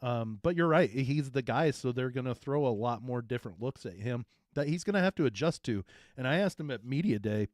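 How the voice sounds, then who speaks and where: A man who is a former coach co-hosting a sports talk show, with a deep voice and a rambling, emphatic manner. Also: lively intonation, brisk, no room sound, studio quality. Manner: rambling, restarts often, emphatic.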